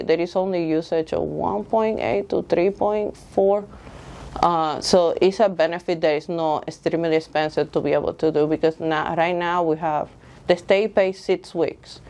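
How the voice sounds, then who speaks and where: A middle-aged woman from the Dominican Republic with a Dominican Spanish accent, explaining her case as a candidate in an earnest, halting way. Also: deep voice, darkish tone, clear, resonant tone. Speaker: school board candidate. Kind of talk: candidate interview, semi-prepared pitch.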